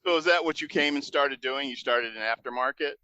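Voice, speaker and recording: Chatty male host with a bright voice. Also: medium pitch, fairly quick, smooth, dry recording, highs cut off. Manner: chatty, laughs often, restarts questions. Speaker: podcast host. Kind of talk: on-location interview. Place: aircraft manufacturing facility.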